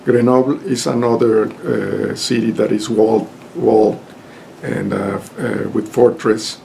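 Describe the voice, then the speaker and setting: Older Mexican-accented man, unhurried, gravelly voice, halting webcam lecture from home. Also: fairly low pitch, steady tone, mellow, a little hiss or hum. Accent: Mexican Spanish. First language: Spanish. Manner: halting, many fillers and restarts. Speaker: lawyer and lecturer. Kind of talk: informal spoken lecture to camera. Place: home study with bookshelves. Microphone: webcam at desk.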